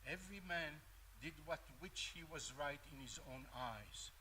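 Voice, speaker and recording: A man's voice: a little high, expressive, a little slow, sharp tone, a little hiss or hum, low-quality recording. A man with an Israeli accent, explaining the day's scripture reading to a congregation in an earnest, conversational way. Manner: earnest, conversational teaching with small restarts. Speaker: Haftarah reader. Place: synagogue sanctuary.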